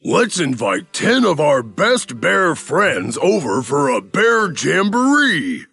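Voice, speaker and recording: A man voicing a jolly, sociable papa bear in a storybook cartoon, raspy and hearty. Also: fairly high pitch, huge pitch swings, takes it slowly, pushed and bright, no room sound, exaggerated voice.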